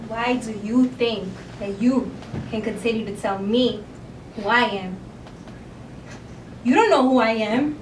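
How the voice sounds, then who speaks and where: A woman acting a theatrical monologue as someone wounded and defiant, confronting her abuser with emphatic, deliberate phrasing and long pauses. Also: lightly acted. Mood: hurt, accusing. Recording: distant echoey room, faint rumble.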